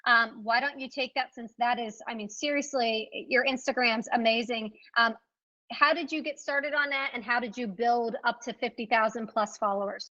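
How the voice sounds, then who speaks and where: A woman's voice: fairly high pitch, fairly even intonation, moderate pace, pushed and bright. A woman from Virginia, a real estate agent speaking on a conference panel in an upbeat, folksy way. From Richmond, Virginia. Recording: dull lo-fi sound.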